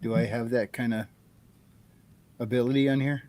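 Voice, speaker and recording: A man's voice: medium pitch, fairly even intonation, moderate pace, darkish tone. A man from New England chatting and joking on a headset during a video call. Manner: jokey, laughs often. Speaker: panelist. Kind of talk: casual group chat.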